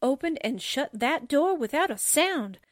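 A woman playing a sarcastic, slangy, excitable female sidekick in a radio drama. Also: high voice, a little slow, no room sound, studio quality. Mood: suspicious. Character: wisecracking, jumpy, sarcastic female newspaper sidekick.

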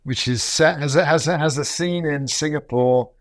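A man's voice: fairly high pitch, normal speed, no room sound. A man hosting a podcast, talking in a chatty, digressive way with fillers and restarts. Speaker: podcast host. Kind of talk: casual podcast interview.

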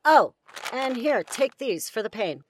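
A woman playing a caring, gently scolding field medic in an audio drama. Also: fairly high pitch, very animated, measured pace, noisy background. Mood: warm, teasing.

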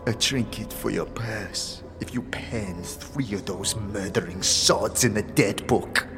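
A woman's voice: rumbling low, slow, over music, exaggerated voice. A woman playing a bitter, grieving street woman in a fantasy audio drama, with scornful, slangy, ungrammatical speech. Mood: low, menacing.

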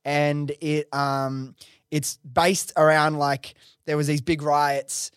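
Young guy, podcast host, laid-back and slangy, a bit high voice, dry sound. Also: slow, clear, resonant tone, dry recording. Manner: relaxed, encouraging, slangy. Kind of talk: casual interview chat.

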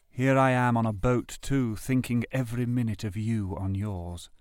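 A man's voice: fairly low pitch, no echo, clean studio sound. A man reading a personal letter aloud from a script. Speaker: reader of letters.

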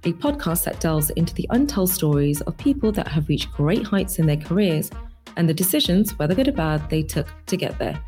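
A woman with a London accent hosts a podcast. Her voice sits low for a woman and her delivery is polished and upbeat. Faint music plays in the background. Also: sing-song, brisk, mellow. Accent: London English. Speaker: podcast host. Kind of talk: scripted intro and interview.

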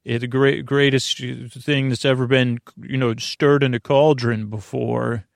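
A man delivers a rambling sleep-podcast monologue in a mid-range voice full of creak. His manner is lulling and hesitant, looping through tangents and half-finished phrases. The recording is very dry, with no room sound. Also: mid-range voice, little pitch movement, no room sound. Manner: lulling, soothing, meandering and halting.

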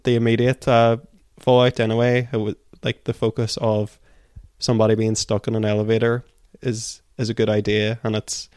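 A man co-hosting a book podcast, giving measured, analytical thoughts in a conversational way. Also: fairly low pitch, completely flat delivery, a little mellow, clean tone, no echo, studio quality.

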